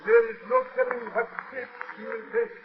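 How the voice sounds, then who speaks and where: An actor playing a solemn, menacing old Haitian witch doctor in 1940s radio horror, with slow incantatory delivery. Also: very high voice, very animated, vocal fry, exaggerated voice. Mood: solemn, fervent. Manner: slow incantatory chanting, ominous. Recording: dull vintage radio recording.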